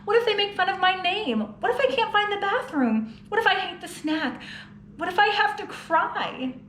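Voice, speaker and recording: Anxious little storybook girl, high fretful voice, questioning tone, roomy echo, as a woman reads her. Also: expressive, normal speed, fairly bright tone, a little hiss or hum. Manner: worried, questioning.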